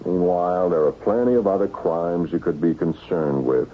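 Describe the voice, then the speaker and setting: An actor in a radio mystery playing a meek, put-upon office worker and husband, halting and mild, who confesses to murder. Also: male voice, deep voice, close to an everyday voice. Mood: defensive, resigned. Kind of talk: radio mystery acting with first-person narration. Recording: tinny, hissy old radio broadcast recording.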